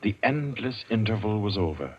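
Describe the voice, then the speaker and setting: An actor as the grave male narrator of a 1940s radio crime drama, telling the tale in a measured, literary, dramatic way. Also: fairly low pitch, sing-song, slightly rough. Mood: grave, suspenseful. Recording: muffled vintage radio recording. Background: music.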